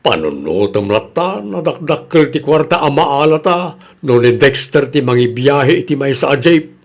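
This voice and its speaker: A man's voice: mid-range voice, animated, normal speed. A man with an Ilocano accent playing a money-minded jeepney owner, a casual and self-interested boss in a comedy radio drama. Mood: self-justifying.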